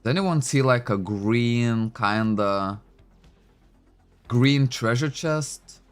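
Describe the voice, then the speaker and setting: A man's voice: medium pitch, lively intonation, slow pace, smooth, soft background music. A man whose first language is Lithuanian, chatting casually at home into a desk microphone while playing a game, thinking aloud with many fillers. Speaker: game streamer. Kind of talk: casual livestream commentary.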